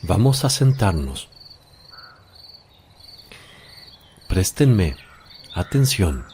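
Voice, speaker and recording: An actor playing a calm, authoritative holy teacher with a solemn, measured delivery in a religious radio drama. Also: male voice, low-pitched, a little hiss or hum, exaggerated voice. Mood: calm, commanding. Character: Jesus, calm authoritative teacher addressing disciples.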